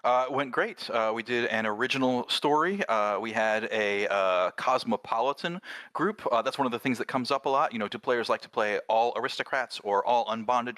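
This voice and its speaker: A man's voice: mid-range voice, fairly bright tone, dry recording. A man talking as a co-host and creator, explaining his projects in a casual, conversational way.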